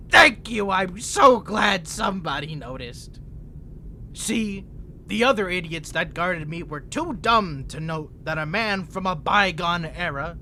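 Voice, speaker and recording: Crazy ancient vampire prisoner, flirty n taunting, swoopy sharp voice, audio roleplay. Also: male voice, high voice, slight background noise, full character voice. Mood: manic, smug. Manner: taunting, flirtatious, theatrical, with mock-sweet endearments. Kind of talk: scripted character roleplay audio.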